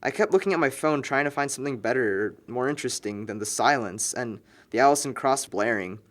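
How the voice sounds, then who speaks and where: Casting: male actor as wry, chatty young guy, slangy best-friend type, emphatic storyteller, mid-pitched, film drama. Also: expressive, fairly quick, fairly bright tone, natural voice. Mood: wistful. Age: young adult. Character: wry, talkative young man confiding in a friend. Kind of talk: naturalistic buddy dialogue.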